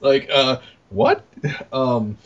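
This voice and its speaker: Dude chatting on a podcast, casual, trails off a lot, medium pitch, muffled sound. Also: male voice, sing-song, vocal fry. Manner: informal, halting, trailing off. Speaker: podcast co-host, arcade operator. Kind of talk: casual chat.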